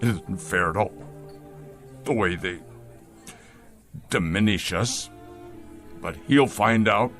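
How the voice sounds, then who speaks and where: An actor playing a pompous, bitter ousted company boss in a science-fiction drama, neurotic, halting and imperious. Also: male voice, lowish voice, a bit bright, soft background music. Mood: wounded, resentful. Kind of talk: classic science-fiction radio drama acting.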